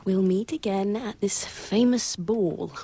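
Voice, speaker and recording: A woman's voice: medium pitch, slow pace, dark tone, a little hiss or hum. An actress playing a cool, polite young society lady in a radio drama, speaking with reserve. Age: young adult.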